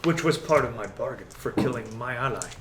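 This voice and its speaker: A man playing a menacing, condescending dark lord in a tabletop game, speaking deliberately and tauntingly. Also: mid-range voice, animated, lightly acted. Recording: faint background noise.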